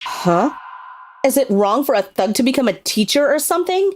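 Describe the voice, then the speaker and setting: Woman as a two-faced, animated lady teacher. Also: sits high, normal speed. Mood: gruff, mocking. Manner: switches between sugary and menacing. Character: sweet-faced young woman teacher with secret thug temper. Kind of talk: manga dub acting.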